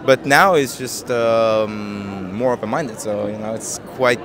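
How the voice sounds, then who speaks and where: A man in his twenties from Japan, answering street interview questions in a soft, hesitant way with many fillers and easy laughs, into a handheld mic outdoors. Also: lowish voice, slow pace. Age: 20s. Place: busy city square outdoors. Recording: busy street crowd behind.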